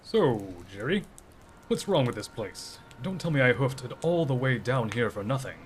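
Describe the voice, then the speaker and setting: An actor playing a determined, inquisitive drifter hero in an audio drama, plain-spoken and steady. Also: male voice, mid-range voice, moderate pace, slight background noise, close to an everyday voice.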